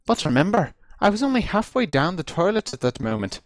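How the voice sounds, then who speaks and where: A man giving a dramatic reading of a humorous first-person story, with deliberate, theatrical phrasing and drawn-out emphasis. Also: high-pitched, sing-song, no echo. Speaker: amateur reader of a comic story. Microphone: close computer mic on voice chat.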